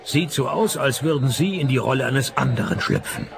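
An actor playing a laconic private detective in a radio play, wry and deadpan. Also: male voice, mid-range voice, normal speed, vocal fry, crowd noise. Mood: cool probing. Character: laconic male private detective narrating his own cases. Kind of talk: German-language radio play, dry first-person crime narration. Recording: music behind the voice.

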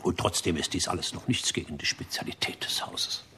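An actor playing a pompous, fastidious gentleman gourmet in a German radio drama, lecturing formally and rhapsodizing about food. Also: sits low, slight background noise. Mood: reverent, rapt. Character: pompous, fastidious gentleman gourmet, obsessively devoted to one restaurant.

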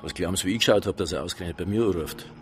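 An actor playing a slippery, self-important nightclub manager in a radio drama, cocky and evasive, with a Bavarian accent. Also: male voice, deep voice, racing, soft background music, natural voice. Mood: scornful. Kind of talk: naturalistic radio drama acting.

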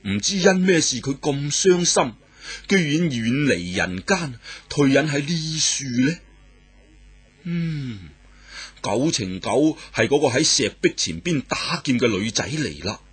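Male storyteller, raspy voice, lively swooping delivery, unhurried. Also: medium pitch. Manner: animated, exclamatory, dramatic pauses. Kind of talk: traditional oral storytelling of a martial arts novel.

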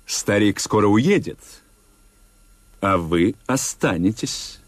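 Актёр играет подозрительного, угрожающего офицера тайной полиции, который отрывисто допрашивает в советской радиопостановке. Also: male voice, fairly low pitch, animated, takes it slowly. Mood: veiled menace. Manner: curt, threatening, interrogating. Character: menacing, suspicious secret police officer following orders. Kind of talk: Soviet radio drama acting.

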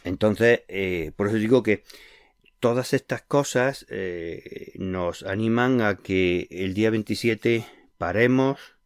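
Male radio host, deep-ish and dry, patient explainer who leans on examples. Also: takes it slowly, smooth, no room sound. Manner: earnest, explanatory, uses concrete examples. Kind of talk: conversational radio interview.

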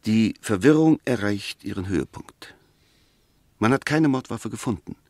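An actor playing a sceptical, gently ironic defence lawyer in a 1960s German radio play, arguing in a measured, precise and pointed way. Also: male voice, lowish voice, lively intonation, no room sound. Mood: reasoned, insistent. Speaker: defence counsel.

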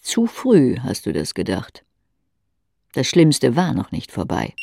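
A woman's voice: a bass voice, wildly swooping pitch, takes it slowly, creaky, warm tone. A woman reading scripted literary narration in the second person for an audio drama.

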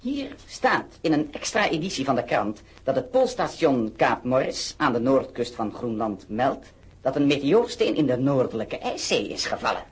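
An actor playing a deferential, eager observatory assistant in a Dutch radio play, his voice husky. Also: medium pitch, sing-song, normal speed. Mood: dutiful.

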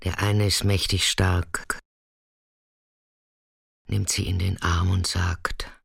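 A man performing scripted literary narration in a deliberate, dramatic way, sometimes dropping to a hushed near-whisper. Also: deep voice, flat delivery, creaky, breathy edge, dry recording, studio quality.

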